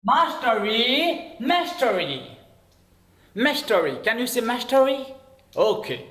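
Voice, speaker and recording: A man with a Nepali accent teaches an English lesson over a video call, his voice high and bouncing all over the place. He spells words out letter by letter and checks in again and again. The sound is roomy, with echo around him. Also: sing-song, slow pace, pushed and bright. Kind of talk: online classroom lesson.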